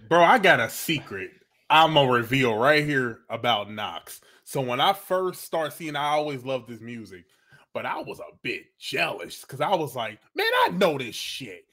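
A man's voice: mid-range voice, animated, normal speed, sharp tone. A man co-hosting a casual group podcast who tells stories in heavy slang and acts out his own quotes. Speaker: podcast co-host.

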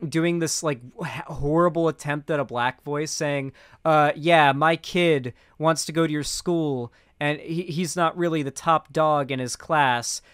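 Young American man, indignant streamer, higher-pitched voice. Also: steady tone, fairly bright tone, smooth, dry recording, studio quality. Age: 20s. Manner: indignant, sarcastic, halting, profane. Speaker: live-streamer, political commentator. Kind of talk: casual reaction commentary. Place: small home streaming room. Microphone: close boom mic.

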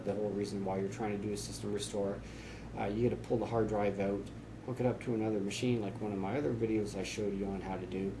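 A man in his forties explaining computer steps to camera in a relaxed, chatty way with frequent fillers, filmed in a small office. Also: fairly low pitch, background noise. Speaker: tech tips host. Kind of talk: casual how-to explanation to camera.

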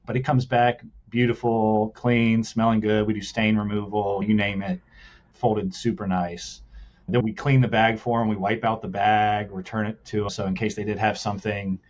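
A man speaking in a low, husky voice, with an earnest, step-by-step way of explaining his laundry business. He leans on fillers like "like" and "right?". The audio sounds dull and lo-fi. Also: level tone, normal speed. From United States. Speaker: laundromat owner guest. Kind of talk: casual interview chat.

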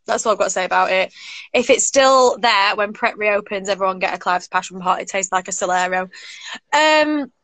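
A young English woman chatting casually on a video call, animated and gushing, full of "like", from a small room at home. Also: medium pitch, normal speed, dry recording. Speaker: co-host. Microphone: laptop or webcam on a video call.

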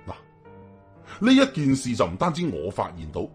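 Animated Hong Kong Cantonese male storyteller. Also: medium pitch, moderate pace, faint music behind. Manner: colloquial, suspenseful. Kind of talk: dramatic first-person story narration.

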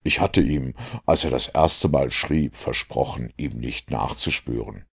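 A cool, unruffled detective from an audiobook, acted out by a male reader. The voice is very low and husky, with dry, deliberate delivery and total self-assurance. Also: full character voice. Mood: cool, composed.

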